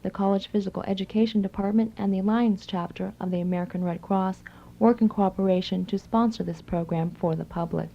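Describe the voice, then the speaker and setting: A woman's voice: mid-range voice, creaky. A woman hosting a radio magazine program, reading scripted announcements and introductions. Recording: slightly dull old reel-to-reel radio tape.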